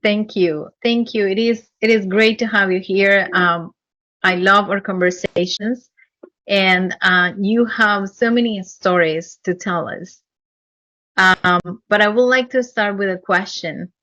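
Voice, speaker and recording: A woman hosting a podcast interview, speaking in an earnest, measured way with some hesitant pauses, in slightly dull lo-fi audio. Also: mid-range voice, a bit bright, no echo. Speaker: podcast host. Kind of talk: interview, reading guest bio.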